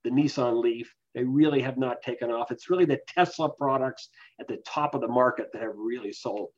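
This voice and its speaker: A man speaking as an expert guest, giving explanatory interview answers in a measured, analytical way. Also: mid-range voice. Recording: dull, lo-fi voice capture.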